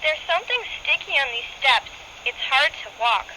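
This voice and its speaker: A woman's voice: sits high, sing-song, normal speed, sharp tone, heavily acted. A young female performer playing a plucky girl explorer, heard over a walkie-talkie in a radio drama. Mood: nervous but brave. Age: child. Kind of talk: amateur radio drama acting. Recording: noisy crackly walkie-talkie sound.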